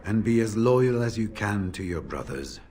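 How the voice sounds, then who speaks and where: Man playing a deep-voiced seasoned veteran warrior. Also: lively intonation, moderate pace, a little mellow, slight background noise, natural voice. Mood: reflective. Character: seasoned, plain-spoken veteran warrior of a warden order. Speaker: game voice actor. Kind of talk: fantasy RPG dialogue. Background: game music.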